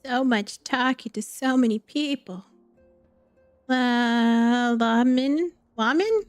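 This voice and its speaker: A woman's voice: on the high side, takes it slowly, smooth, no room sound, soft background music. A woman streaming a video game with casual live commentary into a close microphone.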